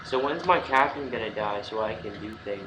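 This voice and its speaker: A man's voice: fairly low pitch, animated, a little fast, heavy background noise. A man giving casual, sarcastic, silly commentary as he plays a video game. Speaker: let's play host. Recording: roomy echo, faint game music.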